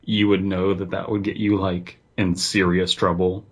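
Husky low-voiced man co-hosting. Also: level tone, normal speed. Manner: dry teasing, hesitant starts. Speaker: podcast co-host. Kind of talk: casual chat.

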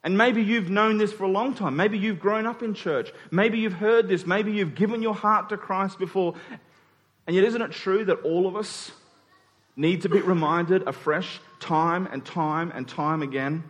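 Preacher in a reverberant hall. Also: male voice, high-pitched. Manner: earnest, emphatic, with repeated phrases and deliberate pauses. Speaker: pastor preaching to his congregation. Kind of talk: sermon. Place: church hall during a service.

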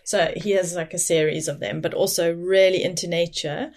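A woman's voice: lowish voice, steady tone, moderate pace, darkish tone. A woman hosting a casual conversation, encouraging her guest and laughing easily. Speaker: host.

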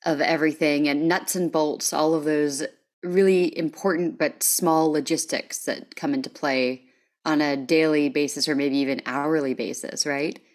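A woman's voice: low-pitched, fairly even intonation, moderate pace. A woman hosting a podcast in a conversational interview style, with an informal, chatty manner. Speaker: podcast host.

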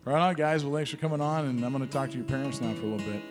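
A man's voice: mid-range voice, racing, music in the background. A man hosting a casual interview, friendly and chatty, laughing easily and using plenty of fillers. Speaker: podcast host.

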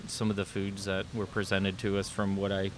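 A man's voice: low-pitched, monotone, normal speed. A man in his fifties from the United States, talking casually as an interview guest and reminiscing. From US: born in New York, raised in Florida and South Carolina. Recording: clear background noise.

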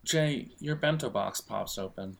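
A man acting as game master, narrating an improvised role-playing game in a casual, halting way. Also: on the low side, lively intonation, vocal fry.

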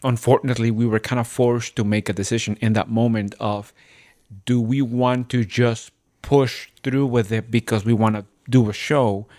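A man from a theater company speaking in a podcast discussion, choosing his words in a measured, careful way. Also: medium pitch, steady tone, a little mellow.

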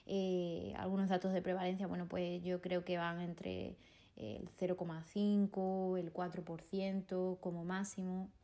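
A woman psychologist giving measured explanations in an interview, with some hesitation fillers. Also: fairly low pitch, flat delivery, warm tone.

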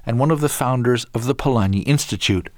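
A man reading scripted documentary narration in a measured storytelling delivery. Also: medium pitch, darkish tone, no room sound, clean studio sound.

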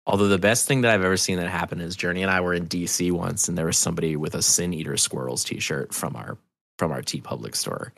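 A man with a low voice and plenty of gravelly fry chats as a guest in a dry, close recording. His talk is loose and enthusiastic. He swears freely and casually. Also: fast, fairly bright tone, no room sound. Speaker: podcast guest, audio drama co-creator. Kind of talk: casual interview chat.

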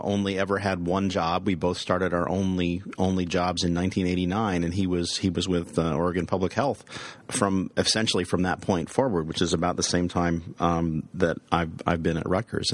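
Deep-voiced man in a dry room. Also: no echo. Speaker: podcast co-host. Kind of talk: casual podcast chat.

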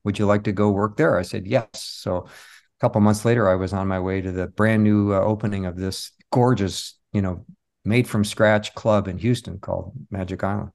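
Male interview guest, somewhat deep register, relaxed conversational storytelling as a seasoned performer. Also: normal speed, gravelly. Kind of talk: casual anecdotal chat.

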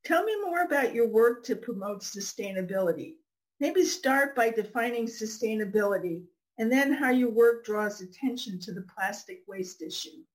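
A woman's voice: on the high side, animated, moderate pace, poor audio. A woman podcast host reading scripted introductions and putting questions to a guest.

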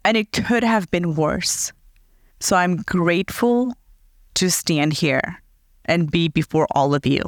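A woman in her thirties from Fiji hosting her own podcast, speaking in an earnest, heartfelt way and slowing down to dwell on her words. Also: on the low side, lively intonation, no room sound, clean studio sound. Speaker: podcast host. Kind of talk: reflective personal monologue.

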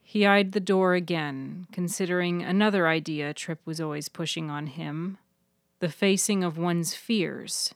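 A woman with a slightly low voice narrates a scripted story for a fiction podcast. Her phrasing is measured and clear, and the recording is dry and close. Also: fairly even intonation, dry recording.

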